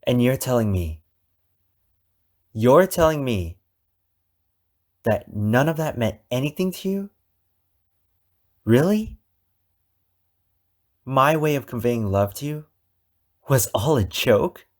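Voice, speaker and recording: A man playing an obsessive, wounded, possessive captor in an ASMR roleplay, speaking deliberately with dramatic pauses. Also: mid-range voice, big pitch swings. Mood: anguished, indignant. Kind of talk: ASMR roleplay monologue addressed to the listener.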